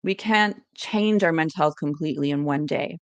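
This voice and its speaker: A woman's voice: sits low, moderate pace, dry recording. A woman giving an educational presentation, reading encouraging tips in a scripted, list-like way.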